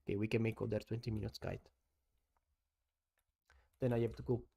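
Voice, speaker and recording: Italian man, gravelly low-key live commentary. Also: level tone, mellow. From Italy. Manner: halting non-native English with many "uh"s, chatting with viewers. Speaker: taekwondo analyst streaming live. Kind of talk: casual live-stream commentary.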